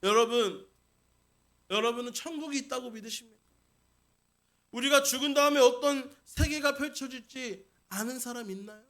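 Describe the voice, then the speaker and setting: A man's voice: very high voice, moderate pace, long pauses, a bit bright. A man preaching a Sunday sermon as senior pastor, putting questions to the congregation and repeating key points for emphasis. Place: church service.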